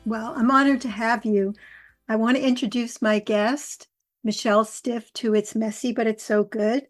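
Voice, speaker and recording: A woman hosting a podcast in a conversational, chatty way, quick to laugh. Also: fairly high pitch, fairly even intonation, faint music behind. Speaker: podcast host.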